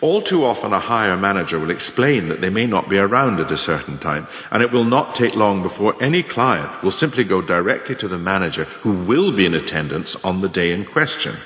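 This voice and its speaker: Guy acting a ruthless shrewd male boss type giving formal lessons, radio play, lowish voice, big echoey reverb. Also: sing-song, a bit bright. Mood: coolly instructive. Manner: didactic, measured, formal, emphatic. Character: shrewd, ruthless management mentor, a man lecturing aspiring managers. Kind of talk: scripted radio play monologue, delivered as a lecture in numbered lessons. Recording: echoey room with long reverb.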